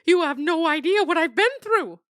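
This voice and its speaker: A woman's voice: very high voice, expressive, normal speed, fairly bright tone, no echo, studio quality, voice pushed up. A woman voicing a frightened female dog who whines and begs in a storybook. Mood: pleading. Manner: whiny, pleading.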